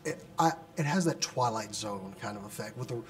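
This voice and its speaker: A man interviewing an actor for a film site, reading out questions in a slightly halting way. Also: lowish voice, lively intonation. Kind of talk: entertainment press interview.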